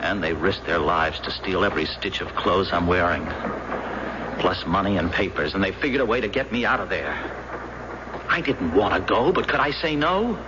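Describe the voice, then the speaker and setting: An actor playing a frightened American escaped prisoner of war in an old radio drama, speaking nervously with halting stammers. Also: male voice, low-pitched, lively intonation. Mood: bitter, afraid. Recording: muffled radio drama tape with loud background noise.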